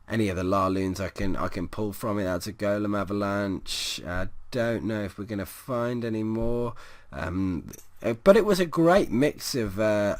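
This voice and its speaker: A hoarse man commentating on a strategy game, his voice sitting lower than usual as it starts to give out. He talks casually and rambles, with plenty of ums and restarts. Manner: rambling, many fillers and restarts.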